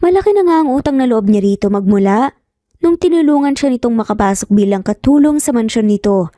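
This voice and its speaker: A woman's voice: on the high side, lively intonation, brisk, dark tone, no echo, studio quality. A woman narrating a scripted romance story in a dramatic storytelling style. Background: music.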